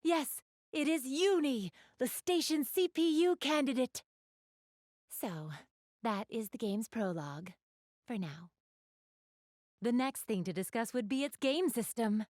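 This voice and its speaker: A woman's voice: fairly high pitch, animated, unhurried, long pauses, a little mellow, no echo, clean studio sound. A woman playing an elegant young goddess in an anime video game, speaking to the player with polite, genteel, formal diction and a keen gamer's refinement. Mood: dramatic narration.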